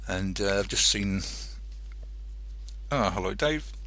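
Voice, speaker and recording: A man hosting an internet radio show in a casual, rambling monologue, halting and hesitant as he thinks aloud. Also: deep voice, expressive, sharp tone, slight background noise.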